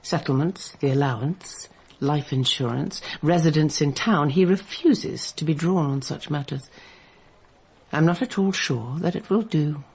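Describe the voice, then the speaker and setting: An actress playing a scheming aristocratic mother who speaks in a measured, calculating way. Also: deep voice, a little mellow, breathy edge, full character voice. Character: scheming aristocratic mother, older woman, mercenary. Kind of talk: BBC radio drama acting.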